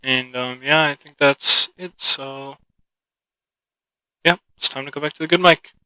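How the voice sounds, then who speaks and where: A man with a bright, sharp voice talks loosely through a gadget review, thinking aloud and trailing off. The sound is dry and close, with no room around it. He speaks into a cheap microphone. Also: mid-range voice, unhurried, clear, resonant tone, no room sound, low-quality recording. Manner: rambling, relaxed, thinking aloud. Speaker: product reviewer. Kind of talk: casual unscripted product review. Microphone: cheap mixer-connected microphone.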